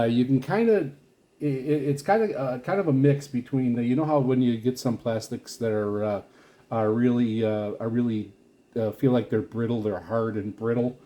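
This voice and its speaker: A man giving casual, unscripted product commentary as a video host, rambling haltingly and often repeating and restarting his phrases. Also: mid-range voice, lo-fi sound. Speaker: video host reviewing radio gear.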